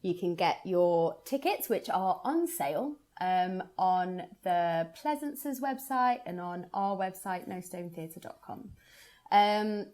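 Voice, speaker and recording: A woman hosting a conversation as a theatre producer, addressing listeners in an informal, softly hedged promotional chat. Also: on the low side, animated, slow pace.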